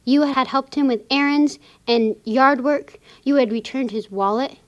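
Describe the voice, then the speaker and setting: A woman playing a kind, professional lawyer in a children's puppet show, explaining things gently to a child. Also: sits high, unhurried, dull audio. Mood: kindly, explanatory.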